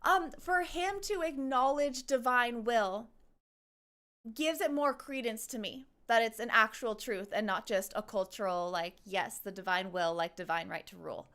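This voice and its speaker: A woman's voice: on the high side, normal speed, fairly bright tone, clear, resonant tone. A woman doing casual livestream commentary, rambling and thinking aloud as she reacts to a game. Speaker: streamer reacting to a game trailer.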